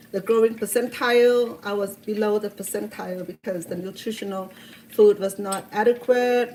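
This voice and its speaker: A woman's voice: medium pitch, a little hiss or hum, rough recording. A woman in her sixties from Cambodia with a Cambodian accent, hosting a cooking video and telling her story in an earnest, heartfelt way with many restarts. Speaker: cooking show host.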